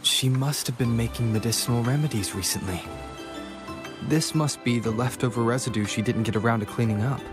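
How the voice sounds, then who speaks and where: A man's voice: medium pitch, a little fast, music in the background, lightly acted. Man acting an observant game investigator. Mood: thoughtful. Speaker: video game character.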